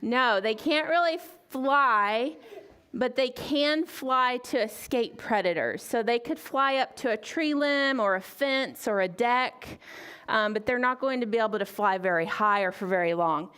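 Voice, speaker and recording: A woman giving an informal explanation to children as a guest speaker, in a casual way with frequent hesitant pauses. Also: on the high side, animated, a bit bright, clear, resonant tone. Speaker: guest chicken keeper and parent. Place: library story time room.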